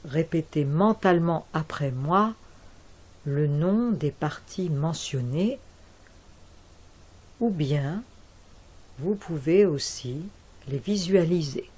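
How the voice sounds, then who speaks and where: A woman leading a guided yoga nidra relaxation as an instructor, giving calm, measured instructions with deliberate pauses. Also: low voice.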